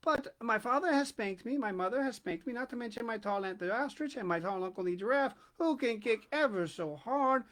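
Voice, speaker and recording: A man playing a polite, high-voiced young elephant. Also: lively intonation, brisk, hardly any pauses, fairly bright tone. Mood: polite curious. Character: small, polite, curious young elephant calf.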